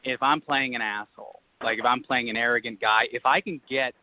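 A man, an actor calling in as a guest, talking about his role in an animated, self-mocking way. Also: medium pitch, fairly quick. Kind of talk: casual call-in chat. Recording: tinny phone line, dry.

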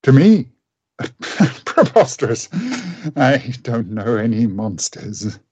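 A man playing a guarded, irritable gentleman doctor in a radio play, with a husky, creaky voice. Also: mid-range voice, big pitch swings, slow, exaggerated voice. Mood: indignant, dismissive.

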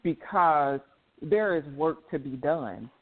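A tired man with a slightly raspy voice speaks earnestly on a call-in show, pitched a touch high. He stammers and restarts as he works through his thoughts. The sound comes through a tinny phone line. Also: animated, slow pace. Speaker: co-host, guest doctor. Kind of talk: casual talk show over a phone line.

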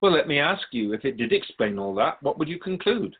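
A man playing a patient, all-knowing keeper of souls in a radio drama, explaining things in a measured, gently wry way. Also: fairly high pitch, very animated, husky, phone-quality sound. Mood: calm, probing. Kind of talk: scripted radio drama dialogue.

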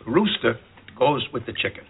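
A man's voice: mid-range voice, animated, a little fast, creaky. A man playing a cranky, argumentative older father in a television sitcom, firing off insistent, nitpicking questions. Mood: insistent, agitated. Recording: tinny, very noisy TV clip.

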